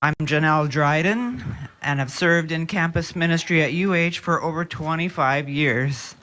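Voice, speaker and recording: A woman's voice: low-pitched, moderate pace, a bit bright. A middle-aged woman from northern Iowa, a campus minister, giving formal public testimony with the odd folksy aside.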